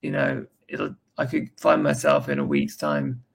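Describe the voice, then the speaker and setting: An English man with a croaky voice, talking in a casual group voice chat as a Reddit moderator and stumbling over restarts. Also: mid-range voice, fairly even intonation. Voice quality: croaky, gravelly voice. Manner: halting, restarts often.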